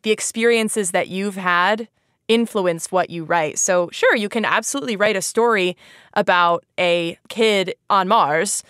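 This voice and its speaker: A woman's voice: mid-range voice, unhurried, a bit bright, clean tone, no room sound, studio quality. A woman hosting a casual educational podcast, chatting informally with plenty of "like" and an easy laugh. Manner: giggly, laughs easily. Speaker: podcast host.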